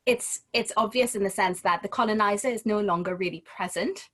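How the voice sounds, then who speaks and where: A woman talks as a guest in a casual conversation. Her pitch sits in the middle of the usual range for a woman. She thinks aloud and often restarts a phrase before finishing it. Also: a little fast, fairly bright tone. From Trinidad and Tobago. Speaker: guest, home educator.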